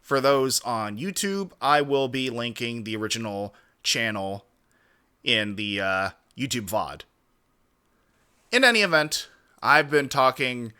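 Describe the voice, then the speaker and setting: Bright-voiced man hosting a livestream. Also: mid-range voice, clean tone. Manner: wordy, roundabout, mildly formal turns of phrase. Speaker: streamer hosting a reaction stream. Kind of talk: casual livestream commentary.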